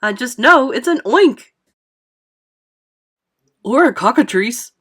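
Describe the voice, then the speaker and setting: Lady doing a loud excitable fire chief guy, bossy, blustery, storybook style. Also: medium pitch, moderate pace. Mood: alarmed. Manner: exclaiming, blustering.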